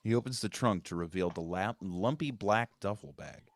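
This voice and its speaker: A man's voice: lowish voice, normal speed. A man narrating stage directions at a screenplay table read, in scripted narration.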